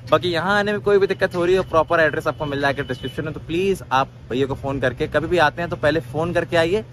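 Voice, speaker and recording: A man's voice: a little high, fast talker, fairly bright tone, a little hiss or hum. A young man hosting a casual vlog, walking a used car lot outdoors and chatting with questions and repeated "okay, okay". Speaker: car vlogger host.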